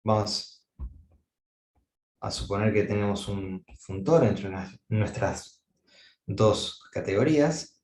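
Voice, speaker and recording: A man gives a university-style lecture, working through ideas aloud and pausing on fillers as he goes. His voice sits a little low. The recording carries a noticeable room echo. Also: a little mellow. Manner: thinking aloud, frequent "eh" fillers and restarts. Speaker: mathematics lecturer. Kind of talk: academic lecture at a whiteboard.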